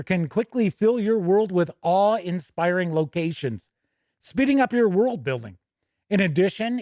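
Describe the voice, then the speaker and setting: A man presents hobby news in a chatty but emphatic way. His voice sits a little high and moves widely up and down as he talks. The recording is dry and close, with very little room sound. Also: a little mellow, no echo. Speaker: host of a tabletop gaming news show. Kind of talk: chatty news presenting, reading product write-ups and answering viewer comments.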